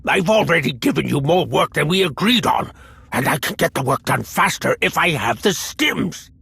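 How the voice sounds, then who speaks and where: An actor playing a nervous, eccentric stim-addicted hacker in a video game, raspy and rambling in a stammering way. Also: male voice, on the high side, lively intonation, normal speed, pushed and bright, no room sound, heavily acted. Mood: pleading, nervous. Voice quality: raspy, scratchy. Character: jittery, eccentric male slicer addicted to stims.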